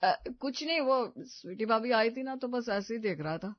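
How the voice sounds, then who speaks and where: A woman's voice: a little high, animated, normal speed, no room sound, lo-fi sound, close to an everyday voice. A woman voicing a casual husband in a storybook tale, offhand and placating as he defends himself. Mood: defensive. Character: casual, defensive husband admiring the neighbour's glamorous wife.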